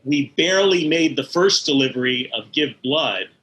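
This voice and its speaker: A man's voice: medium pitch, animated, moderate pace, a bit bright, poor audio. A middle-aged or older man, an interview guest recalling his work in animation with emphatic enthusiasm. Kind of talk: conversational recollection.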